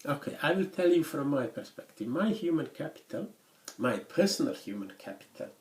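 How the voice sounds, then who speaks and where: Man, non-native English speaker, university lecturer, halting delivery, echoey lecture room. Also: a little high, big pitch swings, a little mellow. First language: not English; English is his third language after Swedish. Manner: halting, many restarts and "uh" pauses. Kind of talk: lecture to students. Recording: echoey classroom recording.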